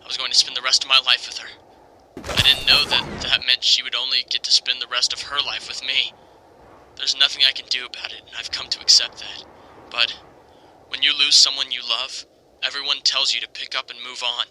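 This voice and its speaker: An actor playing a tender, grieving young male superhero in a comic dub, speaking in an earnest, halting, reflective way. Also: mid-range voice, little pitch movement, pushed and bright, a little hiss or hum, rough recording. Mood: wistful, grieving. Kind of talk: comic dub, dramatic monologue. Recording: music under the voice. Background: music.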